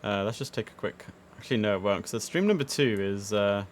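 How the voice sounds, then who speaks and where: Low voiced guy streaming as an atc controller, easygoing, muttering along, bit of noise behind him. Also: expressive, a little fast. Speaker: streamer playing air traffic controller. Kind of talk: casual live-stream commentary mixed with controller radio phraseology.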